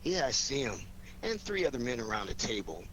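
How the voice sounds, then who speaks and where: Wisecracking american radio detective, 1940s style, teasing and easygoing, bouncy intonation, noisy old recording. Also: male voice, mid-range voice, very animated, a little fast, a bit bright. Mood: wry, relaxed. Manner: flippant, teasing banter. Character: wisecracking, easygoing male amateur sleuth.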